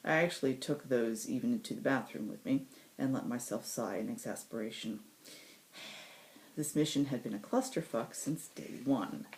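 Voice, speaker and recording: A woman voicing a cynical, sardonic supernatural male assassin in a first-person story, with sarcastic, dramatic asides and emphatic pauses. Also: extremely low pitch, darkish tone. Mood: exasperated. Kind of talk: first-person audiobook-style story reading.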